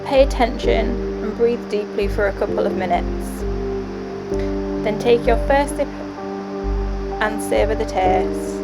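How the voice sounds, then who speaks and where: A woman reading a guided meditation in a calm, soothing, instructive way. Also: on the high side, measured pace, long pauses. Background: guitar music.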